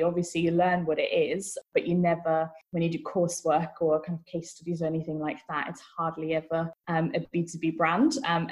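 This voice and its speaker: Chatty lower-voiced woman from Austria. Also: a little mellow, rough recording. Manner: chatty and upbeat, thinks aloud as she talks. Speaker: podcast guest, marketing manager. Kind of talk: casual interview chat.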